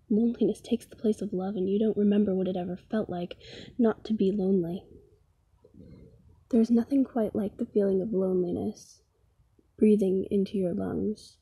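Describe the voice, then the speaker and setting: A woman performing a slam poem in a soft, breathy voice with a solemn, deliberate delivery. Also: medium pitch. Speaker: poet. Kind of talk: slam poetry recital.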